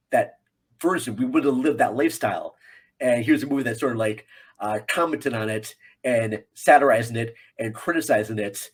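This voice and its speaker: An American man talks in a casual, rambling way as a guest commentator on a film podcast. His voice is husky and sits at an ordinary male pitch, and he repeats phrases to drive a point home.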